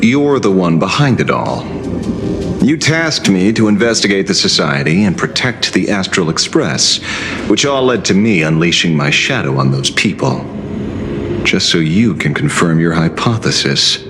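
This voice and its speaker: An actor playing a weary, brooding space ranger in a video game, wry and courteous, speaking with deliberate care. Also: male voice, deep voice, sing-song, noisy background. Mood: suspicious. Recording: loud music behind the voice.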